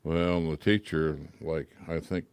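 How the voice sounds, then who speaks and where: A man's voice: very deep voice, steady tone, measured pace, a little mellow, smooth, no room sound. An older man from rural Washington state in a casual radio chat, talking in a folksy, plainspoken way with short answers. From rural Washington state, United States. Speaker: radio show participant. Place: radio studio.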